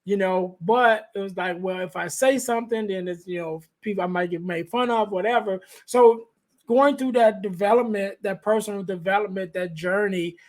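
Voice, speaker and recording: A man hosting a podcast interview, with a light voice, talking in a soft-spoken, halting way full of restarts. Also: high voice. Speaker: podcast host. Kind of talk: conversational interview. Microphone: podcast microphone.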